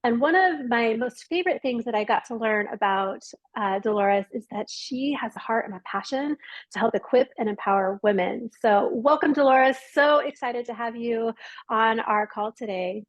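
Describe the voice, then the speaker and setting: A woman hosts an interview over a call with a slightly high, bright-sounding pitch. She is enthusiastic and affirming, echoing her guest's ideas back and building on them. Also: normal speed. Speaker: podcast host.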